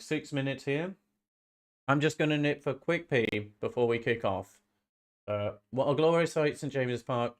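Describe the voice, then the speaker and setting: Guy with a bit of a cold rambling on a football watch-along stream, average pitch, repeats himself. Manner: rambling, often repeats phrases. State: slight head cold, sniffly. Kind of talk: casual live-stream chat.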